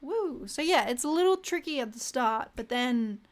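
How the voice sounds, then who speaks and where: A woman's voice: sits high, lively intonation, moderate pace. A young woman on a casual live stream, reading vocabulary words aloud one by one, muttering asides to herself and chatting cheerfully with viewers. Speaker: language learner streaming live.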